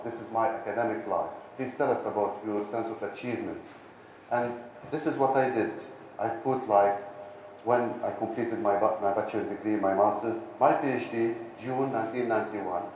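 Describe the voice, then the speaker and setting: Echoey, low-voiced middle-aged man giving a keynote. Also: a little mellow. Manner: emphatic, repeating key words for stress. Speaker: academic keynote speaker, university president. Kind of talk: conference keynote presentation. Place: indoor conference lecture room. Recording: echoey hall, distant, with background hiss.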